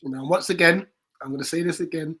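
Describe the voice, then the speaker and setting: A man's voice: a little high, quick pace, fairly bright tone, husky. A man co-hosting a casual football fan watchalong, chatting about club news, reacting to the play and reading out viewer comments. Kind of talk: casual match watchalong chat.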